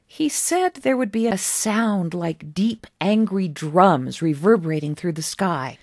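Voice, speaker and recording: A woman narrating a story as its author, in a measured, deliberate storytelling manner with pauses between phrases. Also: fairly low pitch, lively intonation, darkish tone, dry recording, clean studio sound. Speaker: author reading own story.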